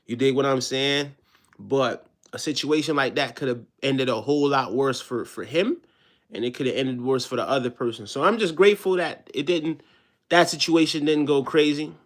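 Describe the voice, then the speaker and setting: A man's voice: mid-range voice, moderate pace. A man touched by talk, chatting casually on a live stream in a slangy way, often checking that listeners follow. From Jamaica. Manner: slangy, repeats check-in tag phrases.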